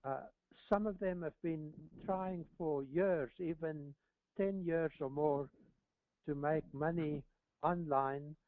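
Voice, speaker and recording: A man answering callers' questions on a live call, speaking haltingly and deliberately and often repeating words. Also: a little high, no room sound. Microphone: phone or conference call line. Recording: narrow phone-line sound.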